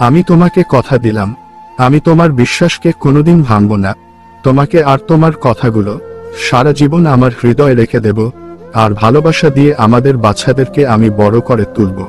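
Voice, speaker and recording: A man's voice: mid-range voice, brisk, faint music behind, close to an everyday voice. A man voicing a devoted, poor village father in a cartoon folk tale, earnest and heavy-hearted. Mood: solemn.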